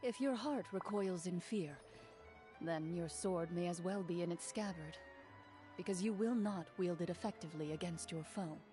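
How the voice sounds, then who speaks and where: Woman voicing game duelist, lowish pitch, mellow dark tone, solemn, formal, faint music behind. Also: moderate pace, natural voice. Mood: stern resolve. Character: stern, composed female duelist. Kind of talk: video game voice acting.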